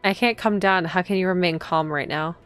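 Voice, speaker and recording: A woman's voice: on the low side, a little fast, a bit bright, faint music behind, natural voice. A woman voicing a frazzled, anxious noble mother in a visual novel, agitated and full of fretful questions. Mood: distraught.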